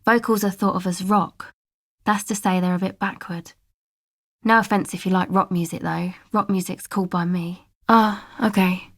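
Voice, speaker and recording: A woman's voice: mid-range voice, steady tone, normal speed, dry recording, clean studio sound, close to an everyday voice. An actress voicing a laid-back, guarded young woman from a dance music crowd, speaking casual, slangy video game dialogue with an offhand manner. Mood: explaining. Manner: offhand, slangy, hesitant asides.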